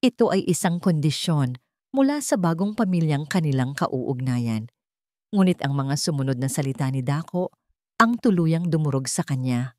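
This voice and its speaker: A woman's voice: sits low, lively intonation, normal speed, dark tone, no echo, studio quality. A woman with a Filipino accent narrating a scripted dramatic story.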